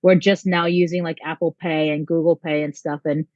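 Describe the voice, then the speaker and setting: A young woman from the States answers interview questions in an easygoing, rambling way, filling pauses with "um" and "like". Her voice comes through a dull, lo-fi channel. The sound is dry, with no room around it. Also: low-pitched, little pitch movement, darkish tone, no room sound. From United States. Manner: chatty, lots of um and like. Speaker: volleyball head coach, guest.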